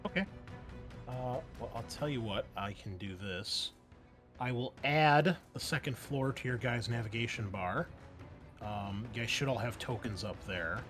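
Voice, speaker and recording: A man's voice: medium pitch, a little slow, a bit bright. A man working as game master, narrating and refereeing a tabletop roleplaying game in an improvised, conversational way. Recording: background music under the voice.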